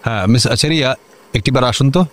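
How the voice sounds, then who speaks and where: An actor playing an officious, impatient district magistrate in a comic radio drama, curt and full of questions. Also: male voice, medium pitch, fairly quick, lightly acted.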